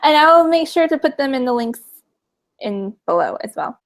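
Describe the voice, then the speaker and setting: A woman's voice: high-pitched, sing-song, moderate pace. A woman hosting a casual interview, friendly and encouraging. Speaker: interviewer host.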